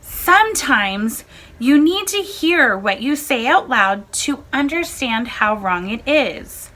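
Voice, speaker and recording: Slow, bright-voiced woman reading aloud. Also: sits high, big pitch swings. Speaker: narrator reading a personal essay. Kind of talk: measured reading of a first-person blog essay.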